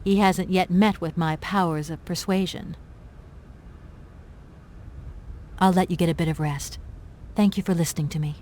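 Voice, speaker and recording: A woman's voice: lowish voice, steady tone, brisk, a little mellow, a little hiss or hum, natural voice. A woman playing a determined, polite young adventurer in a video game, speaking in an earnest, courteous way. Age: young adult.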